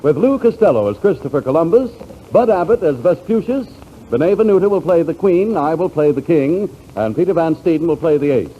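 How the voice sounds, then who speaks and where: A man's voice: fairly high pitch, expressive, brisk. A man announcing a comedy show in scripted 1940s radio style, with mock-grand theatrical delivery. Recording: muffled, noisy 1940s radio recording.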